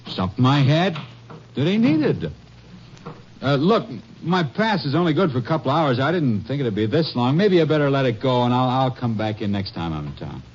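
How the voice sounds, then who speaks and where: A man's voice: mid-range voice, lively intonation, moderate pace, natural voice. An actor playing a nervous, evasive young male soldier who stammers under questioning in a 1950s radio drama. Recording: muffled old radio broadcast with faint hiss.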